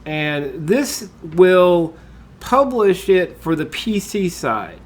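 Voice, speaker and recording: A man, congested from a cold, talking through a screen-recorded software tutorial in a relaxed way, with lots of uh and um. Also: high voice, extremely slow, husky. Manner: relaxed, with frequent uh and um fillers. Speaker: tutorial host.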